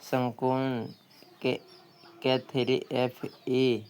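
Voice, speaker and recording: A man's voice: mid-range voice, flat delivery, very slow, a little mellow, a little hiss or hum. A man working through practice questions as a coaching teacher, reading each one out and repeating questions and answers.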